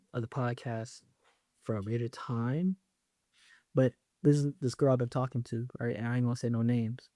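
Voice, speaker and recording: A man's voice: mid-range voice, warm tone, no room sound, studio quality. A young man hosting his own podcast, talking casually in a loose, joking way with lots of slang and fillers. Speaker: podcast host. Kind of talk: casual solo podcast talk.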